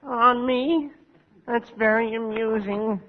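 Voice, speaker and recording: A doleful postman from an old radio comedy, whimpering in a high, wavering voice. He drags each word out extremely slowly. The old recording is muffled. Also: slight background noise. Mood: mournful. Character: mournful, slow, whimpering postman. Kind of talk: 1940s radio comedy acting.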